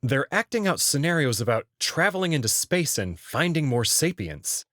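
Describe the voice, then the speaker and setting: A man reading a visual novel aloud as its narrator, in an expressive storytelling style. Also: a little high, moderate pace, dry recording, clean studio sound. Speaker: narrator and reader.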